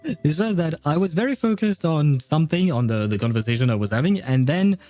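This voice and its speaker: A man livestreaming a video game, chatting casually with viewers in a rambling, halting way. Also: fairly high pitch, lively intonation, warm tone, soft background music. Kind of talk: casual livestream chat and commentary.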